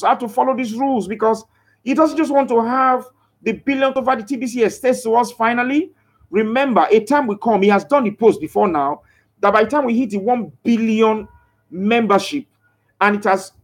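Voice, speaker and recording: A man hosting a motivational live broadcast, preaching with emphatic repeated phrases and stopping to read out and answer viewers' comments. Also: very high-pitched. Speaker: live broadcaster promoting a crypto scheme.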